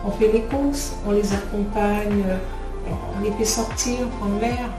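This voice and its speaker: A woman's voice: medium pitch, fairly even intonation, unhurried. A woman giving an interview testimonial as a home care worker, speaking in a plain, conversational way. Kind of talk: interview testimonial about their job. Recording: echoey room, distant mic, music bed. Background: music.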